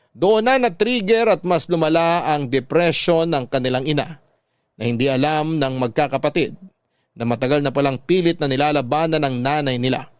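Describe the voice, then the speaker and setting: A Filipino man narrates a scripted letter in the manner of classic radio drama. His voice is a little higher than usual for a man. It is recorded close and dry. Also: no room sound. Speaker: story narrator.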